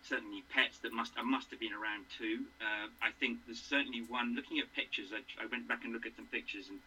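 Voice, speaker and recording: A man in his sixties from England, a guest in a casual interview, who talks haltingly with many fillers and restarts. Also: mid-range voice, fairly even intonation, sharp tone, slight background noise, poor audio.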